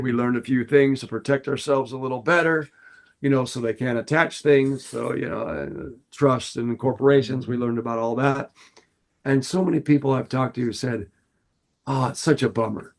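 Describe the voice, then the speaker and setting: Older man, raspy, earnest, animated storyteller, casual podcast chat. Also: medium pitch. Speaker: podcast co-host.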